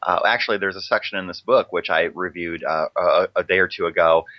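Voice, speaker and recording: A man's voice: low-pitched, steady tone, brisk, lo-fi sound. A man hosting a radio interview, affirming his guest and asking long questions full of fillers. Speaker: radio show host.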